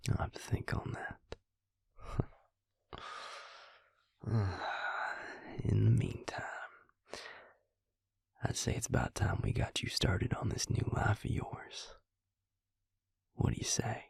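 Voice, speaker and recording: Audio roleplay role: devious vampire recruiter, played by a man. Slow, gravelly, breathy male voice, coolly menacing, close and dry. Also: on the low side, steady tone, no room sound, full character voice. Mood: coaxing, sinister. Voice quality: husky, breathy, gravelly half-whisper. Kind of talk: intimate whispered audio roleplay, addressed to the listener. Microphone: close, dry microphone.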